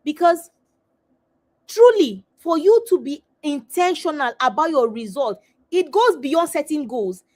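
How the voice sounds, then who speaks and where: A middle-aged woman with a high-pitched voice leads a live coaching webinar. She drives her points home through repetition and rhetorical questions, speaking in an emphatic, preaching manner. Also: big pitch swings, unhurried, darkish tone.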